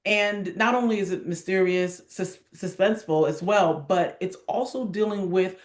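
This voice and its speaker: A woman's voice: medium pitch, normal speed. Woman guest chatting on an interview, upbeat and keen, mid-range voice, pretty echoey room. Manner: enthusiastic. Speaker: interview guest, theater director. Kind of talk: casual promotional interview chat. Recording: roomy, echoey sound.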